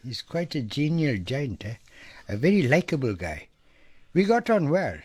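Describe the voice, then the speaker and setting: A man's voice: medium pitch, very animated, normal speed, darkish tone, breathy edge, no room sound. A man acting in an audio drama as a blunt, good-natured young police trainee, informal and questioning in his talk. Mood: chatty, good-natured. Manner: informal, questioning, tags remarks with eh.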